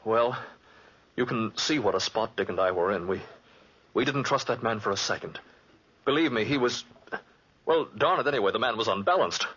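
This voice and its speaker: Worried hunter, slightly low male voice, rough, earnest, halting, old-time radio drama, muffled lo-fi. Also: lively intonation, fairly bright tone. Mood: agitated, earnest. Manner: hesitant, earnest, stammering. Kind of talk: 1940s radio drama acting. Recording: dull, muffled 1940s radio recording.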